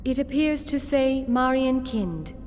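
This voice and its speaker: A woman's voice: high-pitched, lively intonation, a little slow, darkish tone, big-room sound, soft background music. A woman voicing a composed, precise, formal woman in a sci-fi video game.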